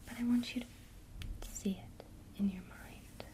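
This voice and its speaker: A woman teaching a witchcraft lesson in a soft, unvoiced ASMR whisper, guiding the listener gently and speaking straight to them. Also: a little high, steady tone. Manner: slow, soothing, intimate, addresses the listener directly. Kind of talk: whispered ASMR guided visualization.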